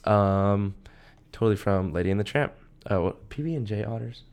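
A man's voice: fairly low pitch, moderate pace, a little mellow. A young American man co-hosting a podcast in casual chat, talking with enthusiastic, slangy phrasing. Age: young adult. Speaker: podcast co-host.

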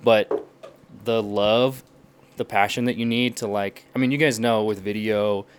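A young man appearing as a podcast guest, explaining in an animated way and acting out little quotes as he goes. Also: lowish voice, measured pace, smooth. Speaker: podcast guest, language learner. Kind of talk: casual podcast chat.

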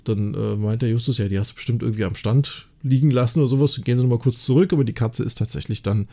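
Chatty man with a creaky, mellow voice. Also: medium pitch, quick pace. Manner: chatty, enthusiastic, often adds tag questions seeking agreement. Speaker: podcast co-host. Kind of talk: casual episode recap and discussion.

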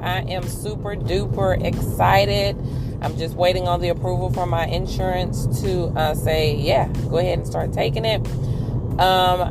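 A woman talks in a relaxed, candid, upbeat way from her car on the morning commute. Her voice sits low and slightly deep. Music plays clearly behind her. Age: 40s. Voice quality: somewhat deep voice. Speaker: podcast host. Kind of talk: casual chat while driving.

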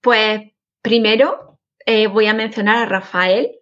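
A woman with an Andalusian accent, a guest in a casual interview, speaking in a friendly, informative and steady way. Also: on the high side, slow pace. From Jaén, Andalusia, Spain. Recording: dull lo-fi audio.

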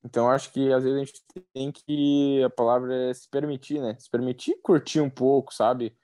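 A young man from southern Brazil with a southern Brazilian accent, a professional athlete talking casually as a podcast guest in a relaxed, easygoing way. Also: mid-range voice, no room sound. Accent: southern Brazilian Portuguese. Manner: relaxed, easygoing, drawn-out fillers.

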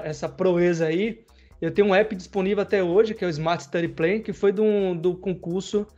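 A man talks in a relaxed, rambling way, full of slang, the way a podcast host chats. His voice sits a little high. The recording sounds dull and lo-fi. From Bahia, Brazil. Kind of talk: casual chat.

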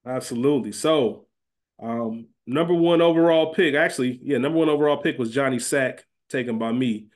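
A man's voice: mid-range voice, normal speed. Man co-hosting a casual podcast. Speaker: podcast co-host. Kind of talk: casual chat and recap.

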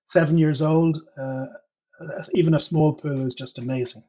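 A man from Ireland, a guest in a casual interview, telling his stories slowly with many ums and uhs. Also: on the high side, steady tone, mellow. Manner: unhurried, many ums and uhs, reflective storytelling. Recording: dull lo-fi sound.

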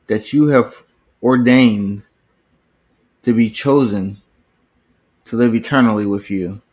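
A man hosting a faith podcast, praying in an earnest, steady cadence and introducing his guest. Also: on the low side, breathy edge. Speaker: podcast host. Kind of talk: spoken prayer and show intro.

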